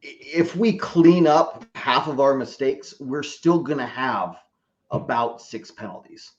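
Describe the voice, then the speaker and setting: A man's voice: medium pitch, unhurried, lo-fi sound. A man who is a guest on a sports podcast, giving a casual, rambling football analysis with lots of hesitations and self-corrections. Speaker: podcast guest, team fan.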